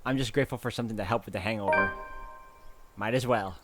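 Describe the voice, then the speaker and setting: A man hosting a game playthrough, reading visual novel narration aloud in an informal, expressive way with casual asides and the odd stumble. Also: on the low side, fast, loud music behind the voice. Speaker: gaming video host.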